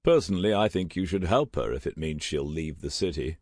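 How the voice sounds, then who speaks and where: A man playing a dry, wry, amused gentleman in an audiobook, with an unbothered manner. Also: a bass voice, lively intonation, a little fast, dry recording. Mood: wry retort. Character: dry, sardonic, amused aristocratic gentleman cousin. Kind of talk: audiobook character acting.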